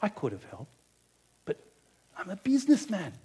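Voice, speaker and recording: A man performing a greedy, self-justifying innkeeper in a first-person monologue, swinging between loud bluster and hushed confession. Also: high-pitched, huge pitch swings, normal speed, husky, full character voice. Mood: guilty. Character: greedy self-justifying male Bethlehem innkeeper, middle-aged. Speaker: storyteller performing a character monologue.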